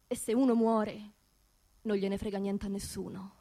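A woman's voice: medium pitch, flat delivery, moderate pace, mellow, close to an everyday voice. An actress playing an anxious, grieving working-class woman in a theatrical radio monologue, with a Roman accent and an emotional, confiding manner. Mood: resigned, hushed. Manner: emotional, confiding, repeats words insistently.

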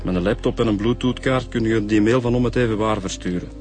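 An actor playing a matter-of-fact detective with a Flemish accent, setting out evidence in a radio drama. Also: male voice, lowish voice, steady tone, quick pace, a little mellow, soft background music, close to an everyday voice. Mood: insistent.

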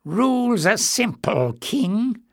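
A man's voice: sits high, big pitch swings, slow pace, vocal fry, no echo, voice pushed up, full character voice. A man voicing a cruel, mocking grey furry creature who leads a pack and speaks in clipped broken sentences, in a dry storybook reading. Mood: menacing.

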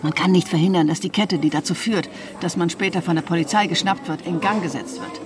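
A woman's voice: on the low side, fairly quick, a crowd in the background, close to an everyday voice. A woman playing a shrewd, educated ex-bank robber turned consultant in a radio drama, explaining things in a matter-of-fact, instructive way. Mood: instructive, assured. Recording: loud music bed underneath.

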